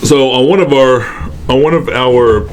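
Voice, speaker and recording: Guy co-hosting, casual banter, middle pitch, noise in the background. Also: unhurried. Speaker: podcast co-host. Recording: clear steady background noise.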